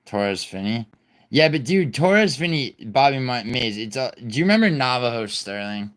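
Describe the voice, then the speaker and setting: A man's voice: medium pitch, big pitch swings, moderate pace, fairly bright tone. A young man hosting a casual livestream, rambling, swearing and reading viewer chat aloud. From born in Italy, raised in New York City. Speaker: livestream host.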